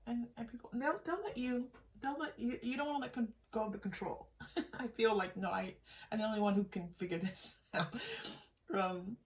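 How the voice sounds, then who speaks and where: A woman giving an improvised spiritual tarot reading straight to the viewer, in a halting, conversational way that often seeks agreement. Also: a little high, distant mic, rough recording. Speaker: tarot reader and channel host.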